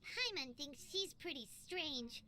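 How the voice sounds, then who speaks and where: A woman voicing a tiny, excitable, childlike fairy companion in an anime video game, with a squeaky voice and a blurting manner. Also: slow, full character voice. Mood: frank, explaining. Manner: refers to herself in third person, blurting.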